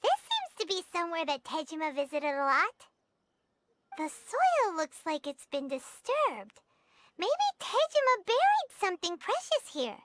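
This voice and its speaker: A woman voicing a tiny, childlike fairy companion in a video game, chirpy, chatty and excitable. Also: very high voice, unhurried, exaggerated voice. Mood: excited, wondering. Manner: chirpy, refers to self in third person.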